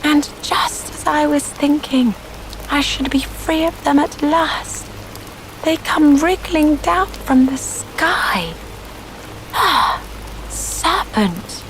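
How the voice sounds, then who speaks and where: A woman acts out a fussy, suspicious mother pigeon from a bedtime storybook. The bird is indignant and scornful, accusing at a slow pace, over a faint wash of rain. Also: high-pitched, lively intonation, slight background noise, voice pushed up, low-quality recording. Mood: shrieking indignation.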